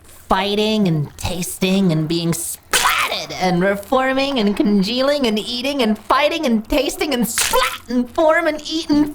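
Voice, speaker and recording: A woman's voice: high-pitched, noisy background. A woman voicing a lonely, hungry slime monster girl in a fantasy audio roleplay, theatrical and prone to giggling and stammering.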